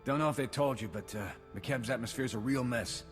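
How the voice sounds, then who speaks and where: A man's voice: mid-range voice, a little fast, faint music behind, close to an everyday voice. A man playing an earnest working shuttle pilot in a video game, talking in a casual, clipped, folksy way. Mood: worried, plucky. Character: earnest, awed working shuttle pilot, worried but plucky. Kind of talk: scripted video game acting.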